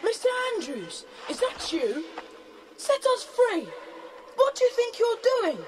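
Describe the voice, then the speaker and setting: A woman's voice: very high-pitched, sing-song, measured pace, heavily acted. A woman playing an earnest, indignant schoolboy adventurer with an English accent and proper, exclamatory speech in a children's audio drama. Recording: faintly noisy cassette transfer.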